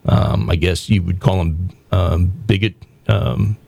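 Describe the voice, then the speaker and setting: A talk show host from the American South with a slightly low voice. He speaks bluntly and opinionatedly, often stopping and restarting his sentences. The recording is dry and close. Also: fairly even intonation, vocal fry, mellow, slightly rough, no echo. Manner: blunt, provocative, halting with restarts.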